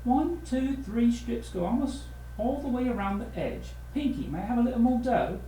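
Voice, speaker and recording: A man's voice: very high voice, lively intonation, moderate pace, mellow, roomy sound, a little hiss or hum, voice pushed up, poor audio. A man voicing an earnest, determined young boy in a children's storybook, reasoning emphatically aloud. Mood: eager.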